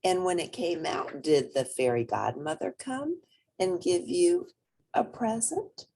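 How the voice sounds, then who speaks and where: A woman's voice: fairly low pitch, sing-song, unhurried, dark tone, slightly rough. A woman reading a children's storybook aloud expressively, speaking directly to young listeners. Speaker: storybook reader.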